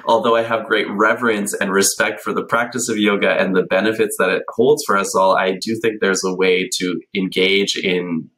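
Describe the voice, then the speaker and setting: A man in his thirties, a podcast guest telling his story in a relaxed, animated way, with plenty of ums, on a video call from a small bright room. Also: low-pitched, rough recording. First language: English. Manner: animated, gesturing a lot, many ums. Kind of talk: casual conversational storytelling. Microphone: headphones on a video call.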